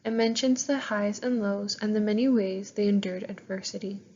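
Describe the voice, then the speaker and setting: A woman's voice: mid-range voice, steady tone, moderate pace, mellow, lo-fi sound, a little hiss or hum. A young Canadian woman reading out her prepared class presentation into a modest recorder that dulls the sound, like a slideshow handed in for a course. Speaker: student presenter. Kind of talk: scripted presentation, read aloud.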